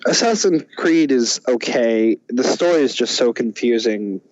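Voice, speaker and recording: A man's voice: mid-range voice, animated, unhurried, a little mellow, no echo. Guy chatting on a film podcast, kinda hesitant, dry but slightly muffled lo-fi mic. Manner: hesitant, thinking aloud. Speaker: podcast co-host. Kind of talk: casual film chat.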